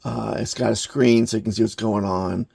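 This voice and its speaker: Low, gravelly man, unhurried gadget reviewer. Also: steady tone, a little mellow, no room sound, clean studio sound. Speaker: product reviewer, hobbyist maker. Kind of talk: unscripted hands-on gadget review.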